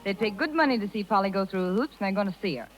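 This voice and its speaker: An actress playing a headstrong, breezy young circus rider with slangy delivery, in 1930s radio style. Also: female voice, mid-range voice, animated, racing, natural voice, poor audio. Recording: 1930s radio recording, music behind.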